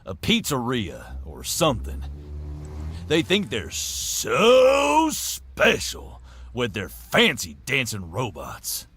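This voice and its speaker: A man's voice: mid-range voice, huge pitch swings, takes it slowly, fairly bright tone, slight background noise, heavily acted. A man voicing a proud, grumbling small-town food seller in a video game, with a folksy, theatrical way of talking. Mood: indignant grumbling. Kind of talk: video game character acting.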